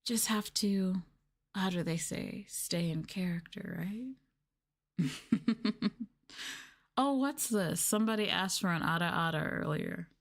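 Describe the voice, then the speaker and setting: A woman's voice: fairly low pitch, takes it slowly, pauses often. A woman livestreaming an ASMR roleplay, talking down to her viewers in a teasing, sultry, condescending way. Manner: teasing, condescending, mock-sadistic dominance.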